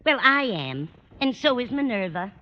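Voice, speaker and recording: A woman's voice: on the high side, very animated, moderate pace. An actress with an American accent playing a motherly, scatty older landlady in a vintage radio sitcom. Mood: fussy, reassuring. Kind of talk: 1940s-50s radio sitcom acting. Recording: dull, noisy old radio recording.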